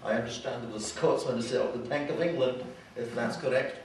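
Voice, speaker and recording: A man's voice: mid-range voice, fairly quick, slight background noise. A Glaswegian man hosting a meeting and introducing speakers, hesitant with many ums and restarts. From Scotland. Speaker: event host. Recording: echoey hall, voice far from mic.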